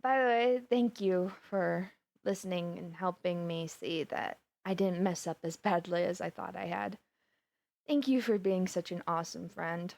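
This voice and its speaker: A woman's voice: fairly low pitch, unhurried, creaky, a little mellow, dry recording. A woman playing a flustered, lovestruck supervillainess in a roleplay audio drama, chatting intimately and dramatically to her best friend. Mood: affectionate, teasing. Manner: chatty, dramatic, playfully indignant, with sighs and gasps. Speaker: voice actor.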